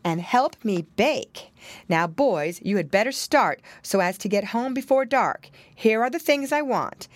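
A woman's voice: a little high, animated, a little slow, no room sound, pitched above the performer's own voice. A woman voicing a busy, kindly mother pig who explains things patiently in a motherly way, for a storybook.

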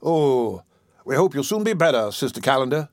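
A man's voice: medium pitch, very animated, moderate pace, no echo, clean studio sound, lightly acted. A man voicing a greedy swindler who feigns kindness with an ingratiating, hesitant manner in a storybook reading.

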